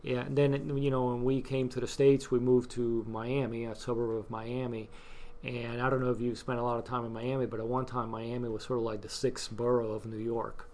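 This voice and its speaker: A middle-aged man from Cuba, talking in a relaxed interview. He hesitates often, with many fillers and restarts. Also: medium pitch, a little fast, few pauses. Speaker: guest, scouting volunteer. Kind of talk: casual interview chat.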